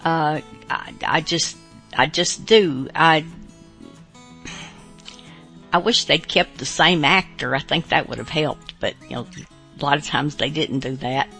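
A woman's voice: low voice, unhurried, long pauses, fairly bright tone, faint music behind. A woman hosting a radio show, chatting casually in a hesitant, rambling way.

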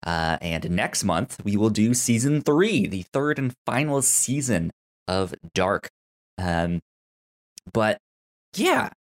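A man hosting a podcast in casual TV review chat, with a halting, stammering delivery that often repeats words. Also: on the low side, very animated. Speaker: podcast host.

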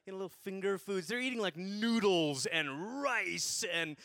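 A man in his forties from Malaysia, preaching a sermon through animated, humorous stories. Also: sits high, normal speed, creaky, no echo, studio quality.

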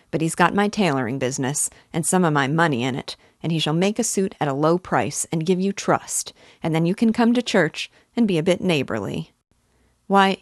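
A woman's voice: low-pitched, no room sound, clean studio sound, lightly acted. A woman voicing an old male English village busybody with a rural dialect, self-important and neighborly, in a storybook reading. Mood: coaxing, advising. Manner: rambling, opinionated, advice-giving.

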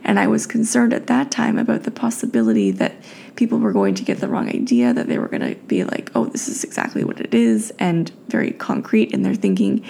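A tired young woman with a raspy voice, hosting a solo podcast and thinking aloud with many fillers and restarts. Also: mid-range voice, mellow, a little hiss or hum. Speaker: podcast host.

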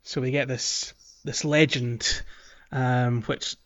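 A man's voice: mid-range voice, little pitch movement, slow, a bit bright. A man co-hosting a podcast, chatting casually about a video game, recorded on a slightly muffled mic. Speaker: podcast co-host.

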